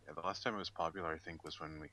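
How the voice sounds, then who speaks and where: A man's voice: very deep voice, fairly even intonation, rapid-fire, pushed and bright. A man explaining game details casually on a podcast in a matter-of-fact, concise way. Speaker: podcast co-host. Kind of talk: casual explanatory gaming discussion.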